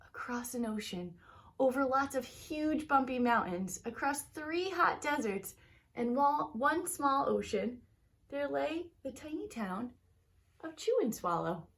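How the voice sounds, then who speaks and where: A woman with a fairly high voice reads a picture book to children. Her delivery is expressive and teacherly, leaning on key words and drawing some of them out for young listeners. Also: measured pace. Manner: talks directly to young listeners. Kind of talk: expressive children's storybook read-aloud.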